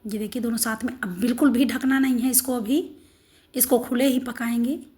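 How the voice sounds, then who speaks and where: A middle-aged woman with a hoarse, sore throat, walking through a home recipe step by step in a homely, instructive way. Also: on the high side, fairly even intonation, moderate pace, a little mellow. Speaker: cooking channel host. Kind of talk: home cooking demonstration.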